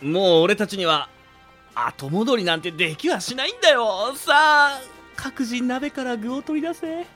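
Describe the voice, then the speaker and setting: A man's voice: very high voice, lively intonation, measured pace, pushed and bright, full character voice. A man playing a dramatic, stubborn young ringleader, theatrical and grandiose, in a comedy radio drama. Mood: grandly resolute. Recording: background music under the voice.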